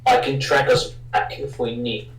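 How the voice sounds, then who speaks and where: A man's voice: medium pitch, fairly even intonation, moderate pace. A man playing a tabletop roleplaying game, talking casually in character, a bit away from the microphone in an echoing room. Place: indoor room, group game livestream. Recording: echoey room, slightly distant from mic.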